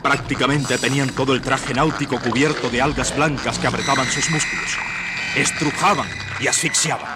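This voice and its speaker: A man's voice: medium pitch, fairly even intonation, fairly quick, heavy background noise. A man narrating a suspense radio play in a dramatic, scripted style.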